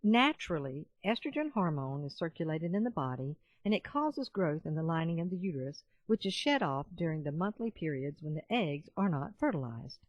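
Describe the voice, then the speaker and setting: Low-voiced woman with lively scripted narration. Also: brisk, hardly any pauses, no room sound, muffled recording. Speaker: voice-over artist and audiobook narrator.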